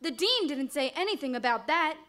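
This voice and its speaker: A stern, fretful guardian aunt in an old-time radio drama. Her voice is very high and sharp, rising and falling widely as she insists. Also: lively intonation, fairly quick. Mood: worried. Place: live stage performance at a convention.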